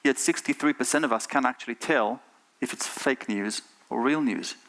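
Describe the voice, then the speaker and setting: A man's voice: medium pitch, normal speed, a bit bright. A middle-aged man from Israel with an Israeli accent, giving an earnest keynote talk on a conference stage and putting rhetorical questions to the audience. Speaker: conference speaker.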